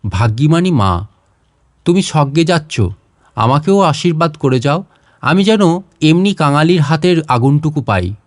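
A man's voice: fairly high pitch, lively intonation, moderate pace, pauses often, no echo, studio quality, natural voice. A man voicing a poor, devout village mother in a storybook reading, fervent and yearning, with speech. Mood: tearful yearning.

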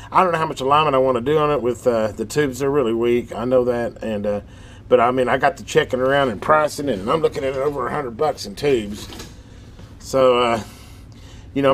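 A middle-aged man giving casual hands-on repair commentary in a folksy, rambling way, as if thinking aloud. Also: medium pitch. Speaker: host of a radio repair channel. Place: workshop in a metal building. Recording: background noise in a workshop.

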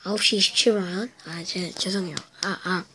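Woman vlogger, whispering, breathy and bright, slow and halting delivery at home. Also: fairly low pitch, fairly even intonation, low-quality recording. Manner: slow, hesitant, with many fillers. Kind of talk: whispered casual storytelling to camera. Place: home, indoors.

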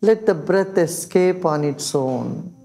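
Mellow, high-voiced older Indian man guiding meditation. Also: expressive, gravelly. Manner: slow, soothing, with long pauses. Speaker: meditation teacher. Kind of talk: guided meditation in English and Hindi. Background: background music.

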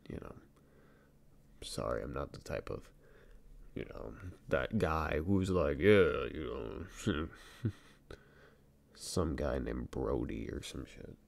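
A man playing a shy young best friend in an ASMR boyfriend roleplay, speaking softly and haltingly with stammers, in a very deep, gravelly voice. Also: exaggerated voice. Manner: hesitant, halting, stammering, soft-spoken.

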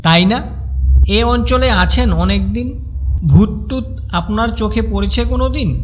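A man's voice: sits high, normal speed, highs cut off, slight background noise. A man narrating a cartoon ghost story in a wry, chatty storyteller manner.

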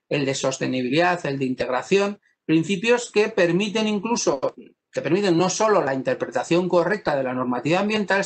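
A man from Spain giving an academic law lecture over a video call, pausing often and restarting his sentences. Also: on the high side. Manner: thoughtful, many pauses, fillers and restarts.

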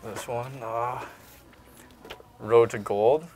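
A man's voice: mid-range voice, steady tone, drawn-out words, crowd noise. A young man with a Canadian accent chatting casually in brief, agreeable answers inside a shopping mall. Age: young adult. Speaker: guest, hockey player. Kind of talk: casual chat. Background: faint music.

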